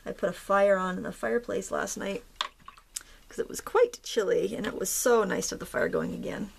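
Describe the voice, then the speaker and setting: A woman hosting a craft tutorial, chatting in a relaxed, rambling way as she paints. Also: medium pitch, normal speed, creaky, slight background noise. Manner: relaxed, rambling, with fillers and soft laughs. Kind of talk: casual chatty tutorial narration.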